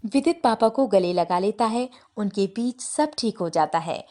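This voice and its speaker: A woman's voice: medium pitch, lively intonation, measured pace, mellow. A woman reading scripted narration for a family story.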